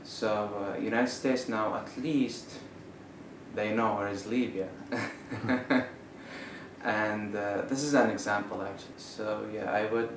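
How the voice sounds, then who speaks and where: A young man from Saudi Arabia, a student, answering interview questions in a halting way with many fillers and restarts. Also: lowish voice, fairly even intonation. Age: young adult. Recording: roomy, distant mic with faint noise.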